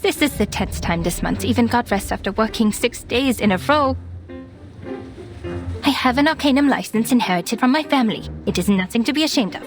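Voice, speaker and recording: Actress as a shrewd proud lady psychiatrist, kinda high voice, brisk and wry, game scene with music. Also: expressive, natural voice. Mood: exasperated. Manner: brisk, wry, self-assured. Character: shrewd, proud, exasperated unlicensed woman psychiatrist. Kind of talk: video game voice acting.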